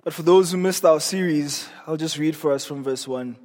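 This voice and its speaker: A man's voice: a little high, moderate pace, a little mellow. A man preaching an earnest evening sermon in a church, his voice scratchy and strained. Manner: earnest, repeats words when he restarts. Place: church hall. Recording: long reverb of a church hall.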